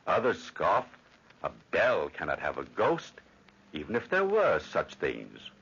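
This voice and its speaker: An actor playing a solemn, eerie storyteller, narrating in theatrical old radio drama style. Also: male voice, low-pitched, huge pitch swings, a little slow, creaky, a bit bright, slightly rough, full character voice. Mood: brooding, mysterious. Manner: portentous, theatrical. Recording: dull old radio recording. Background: music.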